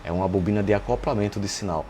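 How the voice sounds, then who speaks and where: A man's voice: on the low side, very fast, dark tone, a little hiss or hum. A man with a Brazilian Portuguese accent giving an informal technical tutorial in a didactic way, often asking rhetorical questions and checking in with the listener. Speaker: radio repair instructor and channel host. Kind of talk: informal technical tutorial, explaining while demonstrating.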